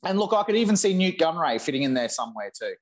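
A man's voice: a little high, big pitch swings, quick pace. A man in casual gaming podcast conversation, talking in an enthusiastic, opinionated way as he offers his theories.

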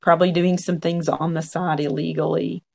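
Gravelly-voiced man in his forties. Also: fairly high pitch, level tone, normal speed, a little hiss or hum. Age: 40s. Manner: frank, earnest, quotes own past thoughts. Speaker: podcast guest. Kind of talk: conversational storytelling.

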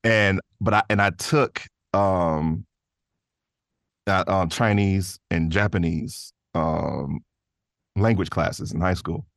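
A man's voice: sits low, takes it slowly, vocal fry, fairly bright tone, dry recording, studio quality. A man chatting casually as a podcast guest, informal and slangy, rambling through his answers. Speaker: podcast guest, voice actor and artist. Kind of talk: casual interview chat.

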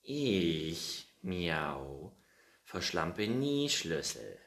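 A man's voice: low-pitched, expressive, normal speed, roomy sound, pitched above the performer's own voice. A man voicing an impatient, easily offended young male cat who meows while complaining in a storybook reading. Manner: sulky, offended replies with meows.

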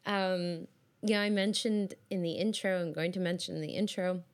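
A woman's voice: on the low side, fairly even intonation, moderate pace. A woman hosting a podcast with a scratchy voice today, talking in an effusive, gushing way with many fillers. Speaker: podcast host. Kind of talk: casual podcast chat.

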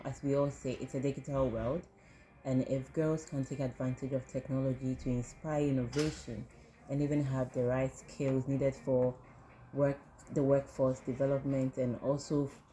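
A woman with an unusually deep, warm voice speaks fluently and earnestly. Faint ambient noise hums behind her. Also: rough recording. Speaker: student union officer. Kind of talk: interview answers.

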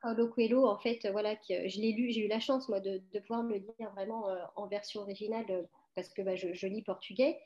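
A woman's voice: medium pitch, steady tone, a little fast, hardly any pauses, mellow, dull audio. A middle-aged woman talking casually and reflectively, with many fillers and restarts. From France. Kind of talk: casual conversation.

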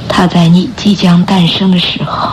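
An actress playing a gentle, sorrowful bedridden mother in a radio drama, breathy, weak and halting. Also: fairly low pitch, level tone, noisy background, close to an everyday voice. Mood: wistful, sorrowful. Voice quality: breathy, often whispered. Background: background music.

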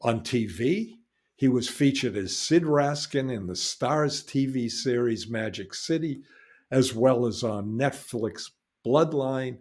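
A man's voice: medium pitch, a little mellow. Man reading a formal guest introduction. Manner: formal, announcer-like delivery. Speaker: podcast host.

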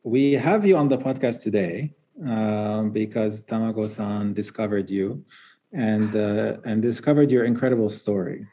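A man's voice: lowish voice, normal speed, mellow. A man hosting a podcast, chatting casually as he introduces and interviews a guest. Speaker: podcast host.

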